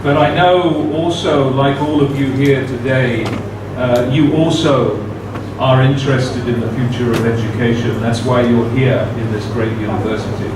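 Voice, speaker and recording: A man's voice: medium pitch, fairly even intonation. A man giving a formal talk as guest speaker at a university seminar, speaking carefully with many "uh" fillers. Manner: measured, careful delivery with frequent "uh" fillers. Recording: very echoey room, distant mic, background noise.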